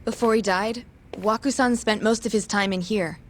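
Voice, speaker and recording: A woman's voice: mid-range voice, steady tone, normal speed, a little hiss or hum, lightly acted. A woman playing a precise hospital worker. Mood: explaining. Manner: polite, informative, precise. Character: polite, precise female hospital staff worker. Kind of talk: game dub acting. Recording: game scene with faint music behind.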